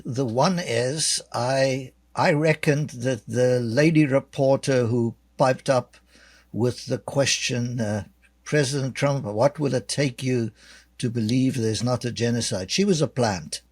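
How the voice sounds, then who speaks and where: Slow-spoken South African older man. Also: medium pitch, no room sound. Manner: measured, dry sarcastic remarks with many fillers. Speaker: guest author. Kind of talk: interview answers.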